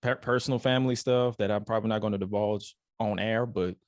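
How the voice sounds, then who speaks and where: A man's voice: on the low side, steady tone, fast talker, no room sound, clean studio sound. A man in his twenties hosting a podcast, talking candidly in a laid-back way and acting out people he quotes. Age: 20s. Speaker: podcast host. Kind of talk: casual podcast chat.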